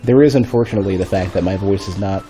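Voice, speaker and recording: A male streamer with a deep, bass-heavy voice, a bit stuffy, chatting casually and thinking aloud while he plays. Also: quick pace, mellow, soft background music. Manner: rambling, thinking aloud with restarts. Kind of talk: casual gameplay commentary. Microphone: desk microphone.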